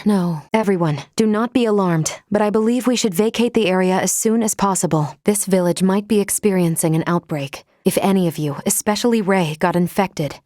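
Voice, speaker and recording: An actress playing a calm, analytical woman science officer in a video game, speaking in formal, precise, measured and clinical diction. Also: medium pitch, no room sound, clean studio sound, close to an everyday voice. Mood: calm, firm. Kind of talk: scripted video game voice acting.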